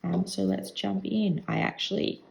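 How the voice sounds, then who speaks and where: Croaky woman chatting about ink. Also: medium pitch, fairly even intonation, unhurried. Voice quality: vocal fry. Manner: chatty, thinking aloud. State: croaky voice today. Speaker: stationery vlogger. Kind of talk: casual rambling review.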